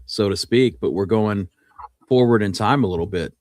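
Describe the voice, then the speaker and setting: A man's voice: on the low side, moderate pace, darkish tone, dry recording. Man hosting a casual radio show, close dry sound. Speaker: podcast host.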